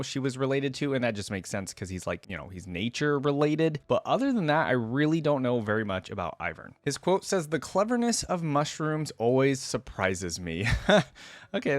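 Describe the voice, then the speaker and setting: A man's voice: medium pitch, no room sound. A man hosting a reaction video, moving between casual, informal commentary and reading a story aloud. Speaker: YouTube reaction host.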